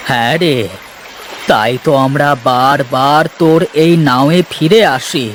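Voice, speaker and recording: A man acts a wandering minstrel's ghost in a cartoon story. He is knowing and gentle, stretching words slowly with a soothing, mysterious air. Light background noise surrounds the voice. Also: medium pitch, lightly acted. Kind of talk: cartoon voice acting.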